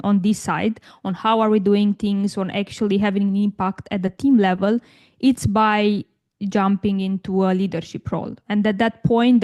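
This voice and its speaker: A woman in her thirties, from Romania, answers interview questions in a relaxed, conversational way. She circles back on her words, repeating small phrases as she finds her thought, with a pitch that sits in the middle of her range. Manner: thoughtful, many restarts and fillers. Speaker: guest, tech career coach.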